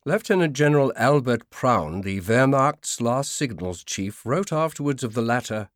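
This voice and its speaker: A man narrating a scripted nonfiction audiobook in a measured, formal manner. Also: mid-range voice, lively intonation, dry recording, clean studio sound.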